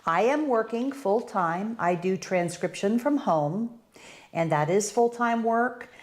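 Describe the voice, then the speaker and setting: A woman's voice: mid-range voice, lively intonation, slow. An older American woman co-hosting a casual question-and-answer chat, upbeat and chatty as she leads the questions. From United States. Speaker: co-host.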